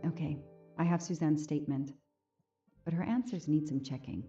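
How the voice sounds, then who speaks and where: A woman's voice: deep voice, moderate pace, dark tone, lo-fi sound, faint music behind, lightly acted. A woman playing a compassionate detective thinking aloud in a video game's inner monologue. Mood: reflective.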